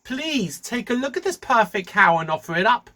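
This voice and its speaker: A storyteller voicing a scheming man who slowly and smoothly persuades the priests. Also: medium pitch, very animated, sharp tone, pitched above the performer's own voice. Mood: sly. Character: scheming man presenting a damaged cow.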